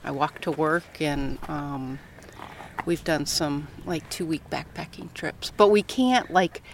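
A woman's voice: low-pitched, slow pace. A middle-aged American woman from Colorado chatting casually as an interviewee outdoors. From Colorado, United States. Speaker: interviewee, professor. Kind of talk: casual conversation. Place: outdoors on a coastal path. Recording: light outdoor ambient noise.

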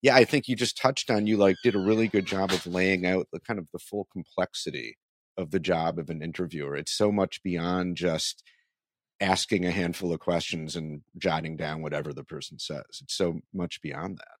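Male interview host, deep voice, dry close recording, informal and affirming in conversation. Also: steady tone, normal speed, no echo.

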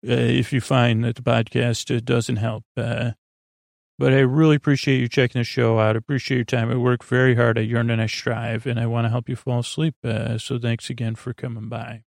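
A man hosts a sleep podcast in a mid-pitched, creaky voice. He wanders through tangents with hesitant pauses and low-pressure reassurance. The sound is dry, with very little room around it. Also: level tone, darkish tone, no room sound. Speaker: podcast host. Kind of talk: rambling bedtime sleep podcast monologue.

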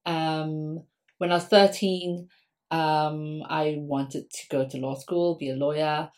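Low-voiced woman from Singapore. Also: steady tone, takes it slowly, darkish tone. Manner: frequent ums, thoughtful and conversational. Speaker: guest academic. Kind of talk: podcast interview answers.

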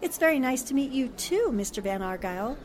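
Woman acting a poised, bright-pitched lady reporter. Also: lively intonation, brisk, very noisy. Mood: polite. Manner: polite, composed, dry. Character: poised, polite, sensible newspaperwoman sleuth. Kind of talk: radio drama acting in 1940s manner.

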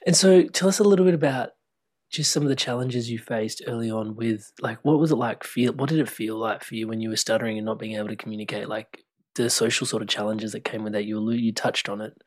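A man's voice: fairly low pitch, fast. A man hosting a podcast, introducing and interviewing a guest in an effusive, rambling way. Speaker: podcast host.

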